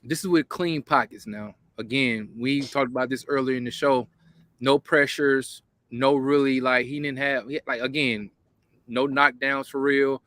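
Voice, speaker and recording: A man's voice: medium pitch, fairly even intonation, unhurried, fairly bright tone, no echo. A man co-hosting a sports call-in show, talking in casual, emphatic bursts.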